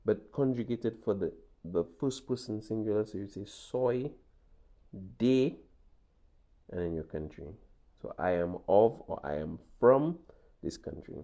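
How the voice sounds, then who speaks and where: A man's voice: medium pitch, mellow. A man teaching a Spanish lesson, patiently walking through examples and checking in with "okay?" as he goes. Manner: patient, repeats points, frequent "okay?" checks. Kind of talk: classroom lesson walkthrough.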